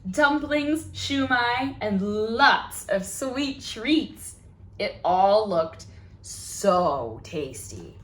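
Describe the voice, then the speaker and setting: A woman hosting a children's storytime, reading a picture book aloud expressively, with questions and exclamations of wonder for young listeners. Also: medium pitch. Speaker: children's library storytime host.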